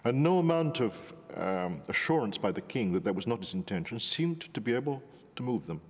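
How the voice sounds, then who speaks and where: A man giving deliberate documentary commentary, stressing key words. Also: medium pitch, very animated, slightly rough, long reverb tail, faint music behind.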